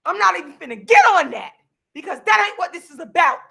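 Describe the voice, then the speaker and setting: A woman in her forties, losing her voice, delivering a heated, repetitive, preachy rant straight to the camera while she sits signing books, sometimes breaking into shouts. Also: very high voice, big pitch swings, fast talker, gravelly. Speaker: vlogger and activist. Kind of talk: impassioned direct-to-camera rant. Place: seated at home signing books.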